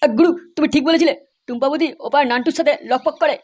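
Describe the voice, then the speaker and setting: Woman voicing a squeaky, excitable young sidekick lad. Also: racing, lightly acted. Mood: urgent, tattling. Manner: slangy, swearing, eager. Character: excitable, foul-mouthed young male sidekick, loyal informer. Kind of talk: crude comedy cartoon voice acting.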